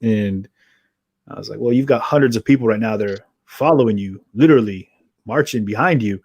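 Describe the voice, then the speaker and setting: A man's voice: fairly low pitch, fairly quick, a little mellow, husky, no echo, lo-fi sound. A man hosting a conversational interview, talking in long earnest, rambling runs with frequent restarts. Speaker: podcast host.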